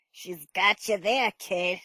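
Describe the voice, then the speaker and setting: A woman's voice: mid-range voice, bright, forceful tone, no echo, exaggerated voice. A woman playing a sardonic, teasing, unimpressed neighbour in an amateur audio drama.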